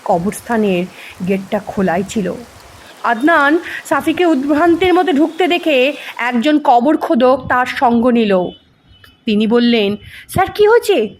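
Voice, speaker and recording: A woman's voice: sits high, measured pace, long pauses, a little hiss or hum. A woman reading a ghost story as dramatic scripted narration. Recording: music under the voice. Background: background music.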